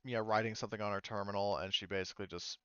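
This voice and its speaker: A man running a tabletop game, improvising narration in a casual, halting, meandering way. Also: on the low side, steady tone, a bit bright, clean tone, no room sound, highs cut off. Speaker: game master.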